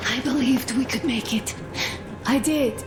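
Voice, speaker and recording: A woman playing a gravely ill young wife in a video game, soft, halting and tender. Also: high-pitched, background noise. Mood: wistful, hopeful. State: weak, sick.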